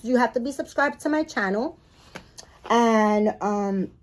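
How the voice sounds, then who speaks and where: A woman with a Spanish-influenced accent, talking casually in a home vlog. Her talk is chatty and apologetic, full of fillers and restarts, and she moves closer to and farther from the camera mic. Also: fairly high pitch. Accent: Spanish-influenced English. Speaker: doll collector vlogger. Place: home apartment.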